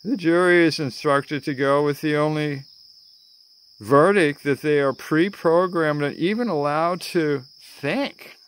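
Slow-talking older American man, theatrical. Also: a little high, clear, resonant tone, low-quality recording. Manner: theatrical, dramatic pauses, chuckling asides. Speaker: vlogger. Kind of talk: spoken-word political rant to camera. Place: outdoors among greenery. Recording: outdoor ambience with chirping insects.

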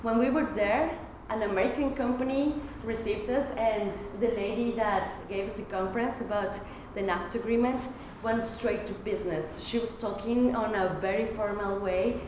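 Young woman from Mexico, student presenter, earnest and anecdotal; far camera, echoey classroom, steady hiss. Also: a little high, normal speed, few pauses. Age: young adult. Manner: earnest, anecdotal, light humor. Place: classroom at a lectern.

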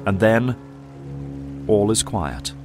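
A man's voice: fairly low pitch, lively intonation, takes it slowly, music in the background. A man giving solemn, theatrical scripted narration for an audio drama.

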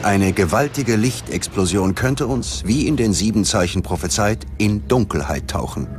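A man reads scripted documentary narration in a measured, dramatic manner. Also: fairly low pitch, lively intonation, music in the background.

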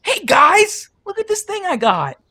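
A woman's voice: very high voice, wildly swooping pitch, a little slow, bright, forceful tone, full character voice. An actress playing a brash, cocky, foul-mouthed boy in an audio drama. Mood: boastful. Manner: brash, defiant.

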